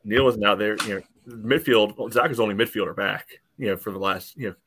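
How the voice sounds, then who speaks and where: A man's voice: lowish voice, fairly quick, fairly bright tone, a little hiss or hum. A man in a casual, opinionated sports debate as a podcast panelist, blunt and often saying "you know".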